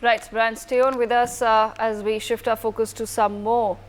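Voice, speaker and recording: Higher-pitched Indian woman anchoring the news. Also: flat delivery, moderate pace. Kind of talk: live TV news presenting.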